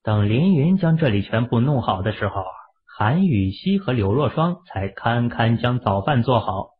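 A man narrating a novel as an audiobook in an expressive storytelling manner. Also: medium pitch, normal speed, husky. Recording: tinny phone-like audio.

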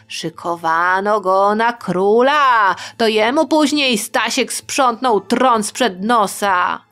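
A woman's voice: mid-range voice, a bit bright. A woman telling history to young listeners in a chatty, playful storytelling style, speaking directly to her audience.

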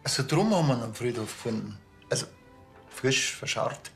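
Gravelly, dry Bavarian policeman. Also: mid-range voice, brisk, soft background music. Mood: dry, matter-of-fact. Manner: laconic, deadpan. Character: dry, unhurried male small-town Bavarian policeman. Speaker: police officer. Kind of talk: TV crime comedy acting.

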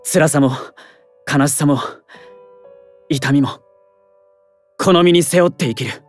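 A man's voice: a little high, slow pace, faint music behind. A man playing a resolute, grieving young male student in an audio drama, speaking earnestly and with feeling. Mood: impassioned resolve. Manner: earnest, emotionally swinging. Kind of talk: Japanese voice drama acting.

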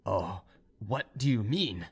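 A man's voice: medium pitch, sing-song, a little slow, a bit bright, husky, heavily acted. Man playing an ardent, pleading young officer. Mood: astonished, hopeful. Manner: earnest, pleading, deferential. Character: ardent, humble young male officer hopelessly in love. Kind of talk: audiobook character dialogue.

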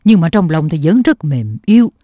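A woman's voice: low-pitched, sing-song, moderate pace, warm tone. A woman voicing a caring elderly grandmother in a cartoon, talkative and fondly nagging her husband. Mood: fondly chiding. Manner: chiding, talkative. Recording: dull, roomy dubbed cartoon audio.

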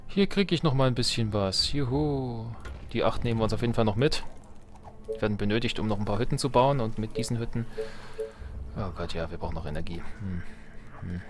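A man's voice: on the low side, fairly quick, noisy background. A man giving casual, jokey gaming commentary as a let's play host, playfully theatrical and muttering asides to himself. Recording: music behind the voice. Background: game music.

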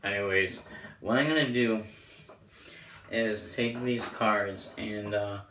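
A young man with a hoarse voice giving a casual, rambling product review to camera as the host. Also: on the low side, fairly even intonation, slow pace, a bit bright. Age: young adult. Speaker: host of playing card deck reviews. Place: small bedroom, indoors. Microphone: camera mic a short way off. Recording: roomy echo, clear background noise.

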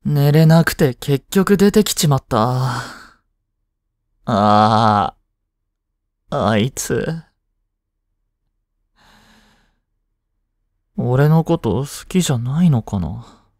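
Man acting slow, lovestruck teenage boy, expressive. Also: mid-range voice, no room sound, studio quality. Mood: frustrated, longing. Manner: casual, hesitant, sighing. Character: lovestruck, jealous teenage schoolboy childhood friend. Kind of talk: Japanese romantic voice drama acting.

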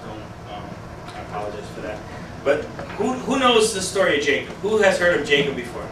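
A man's voice: fairly high pitch, sing-song, brisk, background noise. A man in his thirties, a youth pastor with a stuffed-up nose, talking casually to a youth group and asking it questions. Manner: conversational, asks the audience questions, gestures widely. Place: small meeting room with a youth group. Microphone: far camera, no mic. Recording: echoey room from a distant camera.

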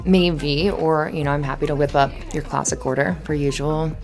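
A woman playing a flustered, friendly young café barista in an ASMR roleplay, chatty and halting in a natural conversational way. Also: deep voice, fairly even intonation, over music. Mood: friendly, scatterbrained. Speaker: voice actor in audio roleplay.

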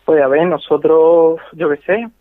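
A man's voice: a little high, steady tone, a little slow, no room sound. A young man on a phone call, speaking hesitantly and repeating himself. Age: young adult. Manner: hesitant, repetitive. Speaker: prank call victim. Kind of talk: phone conversation.

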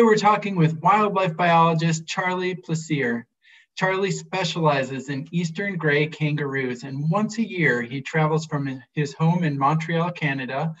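A man in his thirties hosting a live stream, reading an upbeat scripted welcome over a video call. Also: a little high. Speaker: host. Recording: dull, distant video-call audio.

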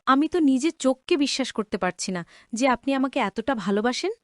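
A meek young cartoon maid, played by a woman, high-voiced with wide swings in pitch. She sounds earnest, wide-eyed and deferential, in a dry studio sound. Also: lively intonation, brisk, dry recording. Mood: moved, grateful. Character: humble, hardworking young orphaned housemaid, earnest. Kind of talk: cartoon story voice acting.

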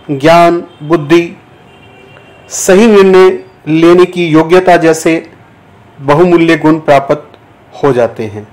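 A man giving guided yoga nidra instruction as a teacher, in measured, deliberate short phrases with pauses between them. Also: high-pitched, darkish tone, low-quality recording. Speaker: yoga teacher guiding a meditation.

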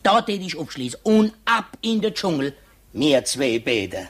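A good-natured male prison warder in a radio play. His husky voice swings widely in pitch. He rambles and hesitates as if confiding something. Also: big pitch swings, heavily acted. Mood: wistful, fed up. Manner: halting, folksy, rambling, confiding. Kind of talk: radio drama acting.

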